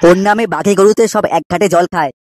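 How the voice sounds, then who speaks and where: A man's voice: high-pitched, quick pace, background noise, lightly acted. A man playing a businesslike, matter-of-fact police officer in a comedy cartoon. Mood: businesslike, cautioning.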